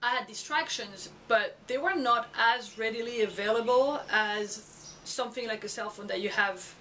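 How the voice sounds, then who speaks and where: A woman with a French accent, a school educator talking casually to her camera in a halting way, with many fillers and restarts. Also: fairly high pitch, sharp tone, far from the mic, a little hiss or hum, poor audio. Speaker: school educator and video blogger. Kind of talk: casual vlog talk to camera. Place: outdoors or home setting in Florida. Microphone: camera mic.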